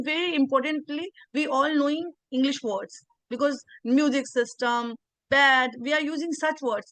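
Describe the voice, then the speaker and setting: Indian woman in her thirties, english teacher, earnest and repetitive, highish voice, dry close sound. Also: fairly bright tone, no echo, low-quality recording. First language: Hindi. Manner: earnest, repeats words, frequent restarts. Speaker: English teacher and channel host. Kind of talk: conversational video interview.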